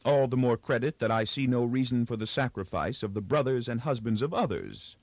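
A man's voice: medium pitch, big pitch swings, brisk, no echo, pitched above the performer's own voice. A man voicing a spirited, composed young woman in an audiobook, light and pointed in manner. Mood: cool, pointed.

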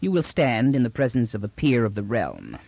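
A man's voice: medium pitch, huge pitch swings, moderate pace, gravelly, a little mellow, no room sound, heavily acted, poor audio. A man voicing a haughty court official who speaks lazily and coldly, with clipped disdain. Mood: disdainful. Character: cold, haughty male aristocrat secretary.

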